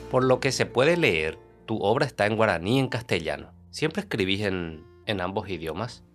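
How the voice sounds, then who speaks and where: A man with a fairly low voice reads context notes and asks questions in a calm, explanatory tone. Faint guitar music plays behind him. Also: normal speed. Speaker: interviewer and narrator. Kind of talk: scripted narration and interview questions.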